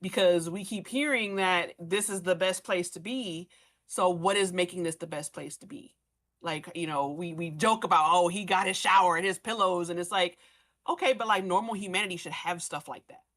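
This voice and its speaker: A woman's voice: fairly low pitch, moderate pace, a bit bright. A woman chatting casually as a podcast cast member, informal and thinking aloud as she goes. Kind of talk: casual panel chat.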